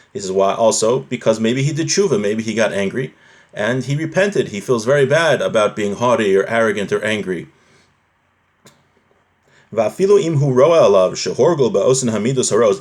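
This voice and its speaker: A man teaching a live religious class, reading from a text, translating it and explaining it point by point, often rephrasing. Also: fairly low pitch.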